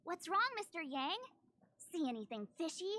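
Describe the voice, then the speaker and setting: A woman's voice: very high-pitched, expressive, moderate pace, fairly bright tone. A woman voicing a bubbly, eager, self-confident teenage girl in an anime-style video game, with a perky, playful manner. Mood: curious. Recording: game music behind the voice.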